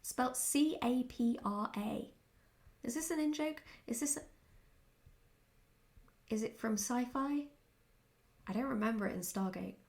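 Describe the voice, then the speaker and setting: A woman chatting casually in a question-and-answer vlog, speaking in a candid, reflective and somewhat halting way. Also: on the high side, darkish tone. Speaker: writer answering follower questions.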